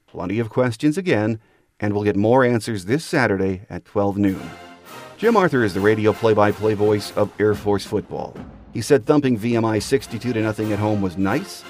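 Guy doing sports podcast commentary, lowish voice, faint music sometimes. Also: a little mellow. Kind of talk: scripted sports commentary and interview.

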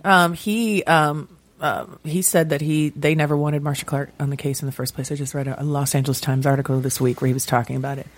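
Podcast panelist, medium pitch, informal conversational delivery. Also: fairly even intonation, fast talker. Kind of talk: casual group podcast chat.

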